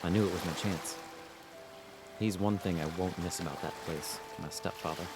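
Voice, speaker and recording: Guy acting young loyal grandson in a radio play, deep voice, earnest, music playing under him. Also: moderate pace. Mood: resolute.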